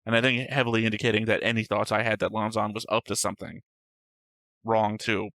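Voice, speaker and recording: Flat-voiced man, bright and dry. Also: fairly low pitch, a little fast, dry recording. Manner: rambling, searches for words, argumentative. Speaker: anime reaction show co-host. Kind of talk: casual discussion.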